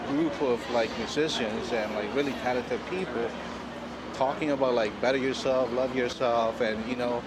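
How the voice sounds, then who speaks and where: A man's voice: mid-range voice, fairly even intonation, normal speed, noisy background. A man interviewed as a fan rambles with lots of "like" and "you know".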